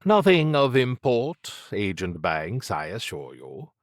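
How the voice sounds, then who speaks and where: A man's voice: lowish voice, huge pitch swings, slow, no echo, clean studio sound, full character voice. A man voicing a slim, androgynous occult schemer with an upper-class English accent and an arch, urbane, needling manner. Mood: smooth, smug.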